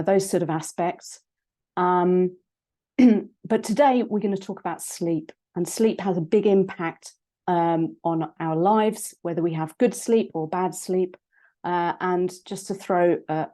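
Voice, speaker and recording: A woman with a British accent, a psychologist and coach giving an online talk, croaky from a cold and hesitant, with many ums and restarts. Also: fairly low pitch, dark tone. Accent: British English.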